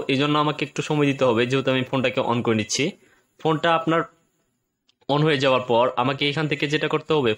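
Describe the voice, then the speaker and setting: A man's voice: mid-range voice, fairly bright tone. A man presenting a step-by-step phone tutorial, explaining things with repetitive phrasing.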